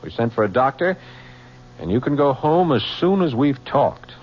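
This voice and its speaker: An actor playing a patient, dogged homicide lieutenant who speaks in a halting, apologetic way with many pauses. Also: male voice, medium pitch, very animated, natural voice. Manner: halting, apologetic, full of uh's. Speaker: police lieutenant. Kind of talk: 1970s radio drama acting. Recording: dull old radio recording with faint hiss.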